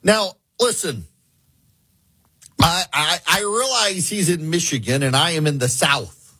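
A man's voice: a little high, a little slow, pushed and bright, slightly rough. A man from the American South hosting an opinionated political talk radio show, sarcastic and mocking.

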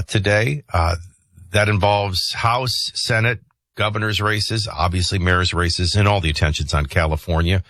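A man's voice: low voice, fairly bright tone, no room sound, clean studio sound. A man hosting a morning radio talk show, speaking in a conversational news commentary style.

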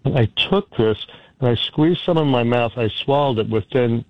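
Rambling caller, dull lo-fi line. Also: male voice, mid-range voice, no room sound. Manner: chatty, rambling, halting storyteller. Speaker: radio caller. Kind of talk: phone-in conversation.

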